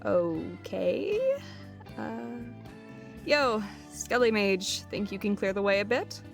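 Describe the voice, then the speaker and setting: Woman playing a slow, wry adventurer. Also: mid-range voice, lively intonation, gravelly, faint music behind. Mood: casual, puzzled. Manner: casual, teasing. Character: wry, unbothered female adventurer, a party sidekick. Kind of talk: fantasy audio roleplay acting.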